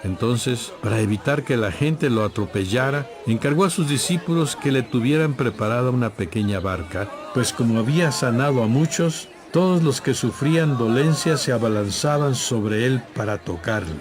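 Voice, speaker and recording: A man giving scripted, measured and solemn narration for a dramatized audio Bible. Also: medium pitch, lively intonation, a crowd in the background.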